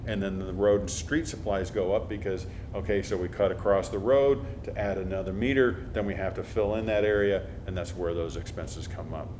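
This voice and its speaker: Low-voiced man reading figures. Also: fairly even intonation. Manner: halting, many uh fillers. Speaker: utility official presenting finances. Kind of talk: meeting report. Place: community meeting room. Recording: noisy room with air conditioning hum.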